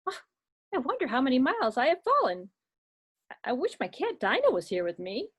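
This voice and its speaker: A woman's voice: sits high, big pitch swings, brisk, slightly rough, pitched above the performer's own voice, heavily acted. A woman voicing a bored, curious young girl in a puppet show. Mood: wondering.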